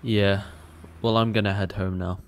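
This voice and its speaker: A man's voice: lowish voice, little pitch movement, normal speed, natural voice. A young British man playing a shy, anxious, cautious college student with a hesitant manner in an audio drama. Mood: nervous relief. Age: young adult. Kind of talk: naturalistic audio drama acting.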